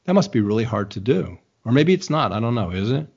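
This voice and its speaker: A man interviewing an author in a relaxed, conversational way, asking long, meandering questions full of restarts and hedges. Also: mid-range voice, fast talker, vocal fry, slightly rough, dull audio. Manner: rambling, searching questions with many restarts.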